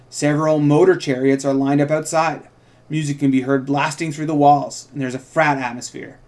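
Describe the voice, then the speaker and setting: A man co-hosting an informal table read, reading stage directions and breaking off into rambling, self-interrupting asides. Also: fairly high pitch. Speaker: podcast host and co-writer reading stage directions of his own script.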